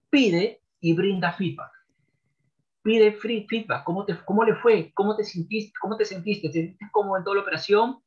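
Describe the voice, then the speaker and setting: A man's voice: high voice, muffled recording. A man in his forties, a sales trainer guest on a podcast interview, speaking from a home office over a video call, emphatic and repeating key phrases.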